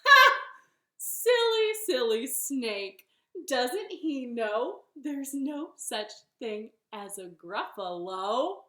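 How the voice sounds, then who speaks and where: A woman's voice: sits high, sing-song, drawn-out words, pushed and bright, pitched above the performer's own voice, heavily acted. A woman voicing a clever little female storybook bunny, hesitant and bluffing, with a secretly proud streak. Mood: smug. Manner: hesitant, stalling ums and ohs. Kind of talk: animated children's storytelling. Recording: roomy, echoing sound.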